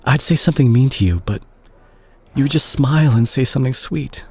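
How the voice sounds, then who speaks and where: A man playing a remorseful, guilt-ridden doctor ex-boyfriend in an intimate romantic audio drama, speaking haltingly and tenderly with a husky voice. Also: medium pitch, darkish tone. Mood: pleading, frustrated. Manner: halting, emotional, with sighs and repeated words. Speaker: audio roleplay performer.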